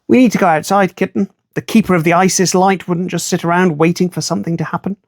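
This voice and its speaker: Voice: medium pitch, steady tone, fast, no echo, studio quality, lightly acted. A storybook reader voicing an anxious young boy who talks earnestly to a cat. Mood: resolute.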